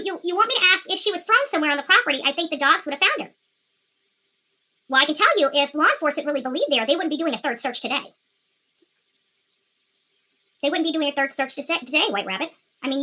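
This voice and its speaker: A woman's voice: high voice, sharp tone. An American woman hosting live true-crime commentary, speaking emphatically and repeating phrases for stress. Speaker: livestream host and commentator.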